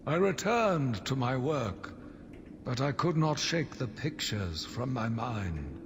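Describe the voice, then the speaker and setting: An actor playing an embittered, zealous asylum doctor who gives a solemn, theatrical monologue for a video game audio log. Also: male voice, medium pitch, very animated. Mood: grieving, haunted. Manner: solemn, measured, brooding, theatrical. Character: embittered male asylum doctor, grief-stricken, zealous, slowly turning vengeful. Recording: long reverberant echo, loud background noise.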